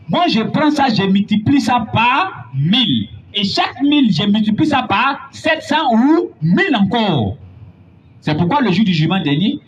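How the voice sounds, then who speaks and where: A man preaching a sermon, building to emphatic, drawn-out points. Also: high voice, fairly bright tone. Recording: dull lo-fi recording with faint noise.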